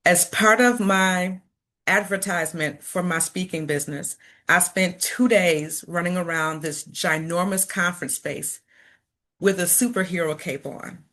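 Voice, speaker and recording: A woman's voice: lowish voice, fairly even intonation, unhurried, bright, forceful tone. A middle-aged woman from Chicago, a motivational speaker who stutters and repeats words, talking earnestly and encouragingly to an audience. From Chicago, United States. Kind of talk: personal talk to an audience.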